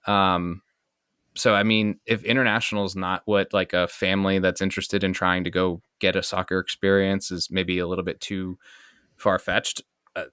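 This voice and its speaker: An American man hosts a casual podcast chat in a clean, lowish voice. The sound is dry and close. He is enthusiastic and tends to wander through his thoughts as he talks. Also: level tone, moderate pace, pushed and bright, smooth, no room sound. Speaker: podcast host. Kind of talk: casual conversational interview.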